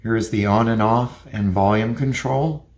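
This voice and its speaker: A man's voice: fairly low pitch, moderate pace. A man giving a hands-on gadget review, explaining features plainly and methodically, step by step. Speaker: product reviewer.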